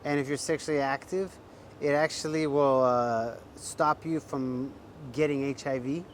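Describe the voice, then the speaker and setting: A man's voice: mid-range voice, fairly even intonation, measured pace, slight background noise. A man interviewing a guest, asking blunt questions and giving advice in a casual way.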